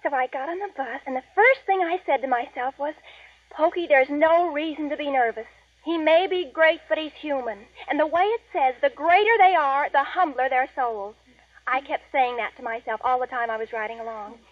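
A dull vintage radio recording of a woman acting as an excitable bobbysoxer girl. Her extremely high voice is dramatic, self-important and quick to snap, in 1940s radio comedy style. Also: no room sound, natural voice. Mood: excited, self-important. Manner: breathless, dramatic, snippy storytelling. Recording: muffled old radio recording.

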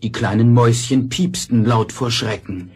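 A man's voice: mid-range voice, moderate pace, heavy background noise. A man narrating a scripted fairy tale for children in an audio play.

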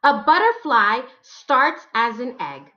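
A woman's voice: a little high, sing-song, slow, highs cut off. A woman in her thirties reads picture books aloud for children, expressively and with careful phrasing, as a teacher would.

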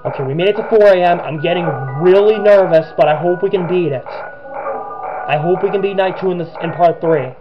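A man's voice: sits high, moderate pace. A young man giving nervous, rambling gameplay commentary with many restarts, his voice cracking. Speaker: gamer. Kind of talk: let's play commentary. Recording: dull lo-fi sound with game music.

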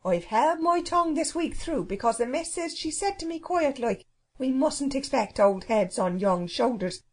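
A woman's voice: high voice, lively intonation, moderate pace, darkish tone, pitched above the performer's own voice. A woman voicing a fierce, indignant household cook in a children's storybook, scolding in blustering, colloquial speech. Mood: furious, scolding.

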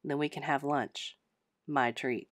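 Woman acting a low-voiced, easygoing male painter. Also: fairly even intonation, measured pace, dry recording, studio quality. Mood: casual, hopeful. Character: easygoing, bearded male house painter, mildly confused.

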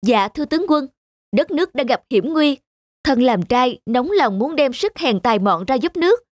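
A man voicing a humble young peasant patriot in an animated folk tale, speaking formally with respect and fervor. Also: very high-pitched, moderate pace, no echo, studio quality. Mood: fervent, respectful.

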